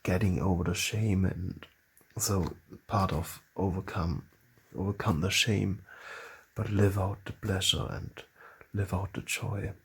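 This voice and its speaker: A man with a German accent talks casually to camera on his own channel. His voice is fairly low and very husky, with a gravelly fry running through it. He speaks haltingly and often stops to restart a phrase as he reaches for the right words. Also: rough recording. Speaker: channel host.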